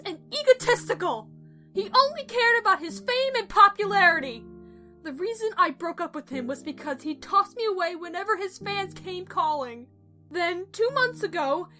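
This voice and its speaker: A woman's voice: very high-pitched, expressive, normal speed, sharp tone, faint music behind, heavily acted. A woman playing an earnest, protective mother pony in an emotional audio drama, speaking confessionally. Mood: bitter, pleading.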